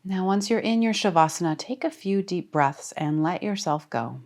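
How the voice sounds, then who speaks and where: A woman leading a guided relaxation as a yoga teacher, speaking calmly and soothingly with deliberate pauses. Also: lowish voice. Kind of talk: guided meditation.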